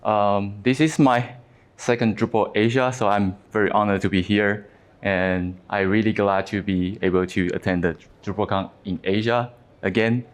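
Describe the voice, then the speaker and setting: A man's voice: on the low side, steady tone. A man in his thirties from Taiwan with a Taiwanese accent, whose first language is Mandarin, giving a conference presentation with many fillers and restarts. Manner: halting, frequent fillers and restarts. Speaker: conference speaker.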